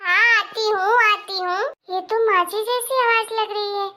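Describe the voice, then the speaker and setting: A woman playing a scheming young wife who acts meek and innocent in an animated family melodrama. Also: very high voice, moderate pace. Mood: worried.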